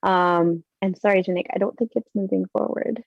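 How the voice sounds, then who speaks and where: A woman's voice: mid-range voice, steady tone, normal speed, gravelly, no room sound, highs cut off. A Canadian woman in her thirties giving an earnest, reflective personal presentation over a video call, with some fillers.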